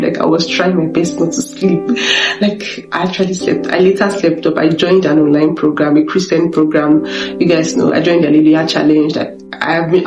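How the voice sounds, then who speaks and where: A young Nigerian woman vlogging about her recent illness, her voice weak and off from being sick. She talks haltingly and keeps repeating words and restarting. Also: lowish voice. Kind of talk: personal confessional vlog. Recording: echoey room with guitar music behind.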